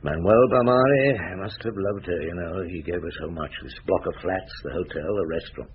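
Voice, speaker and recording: An actor playing a shrewd, world-weary chief inspector in a radio drama, speaking in a measured, brusque, authoritative way. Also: male voice, deep voice, close to an everyday voice. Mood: sombre, firm. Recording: muffled, phone-like radio sound, faint noise.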